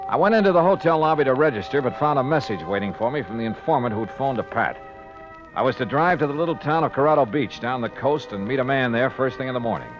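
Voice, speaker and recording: An American actor playing a wry, world-weary insurance investigator in an old radio detective drama, with punchy hardboiled delivery. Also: male voice, mid-range voice, lively intonation, fast, natural voice. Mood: brisk, matter-of-fact. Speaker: lead actor and narrator. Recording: dull vintage radio recording with music.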